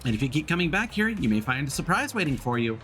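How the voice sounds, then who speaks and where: A man voicing a small, eager, chirpy video game shop helper creature with a bright sales-pitch delivery. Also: very animated, fast talker, faint music behind.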